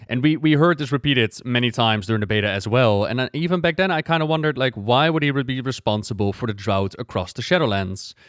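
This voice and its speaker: A man reacting casually as a gaming lore creator, excited and speculative. His voice is smooth and clear, medium in pitch, recorded dry and close. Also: no room sound.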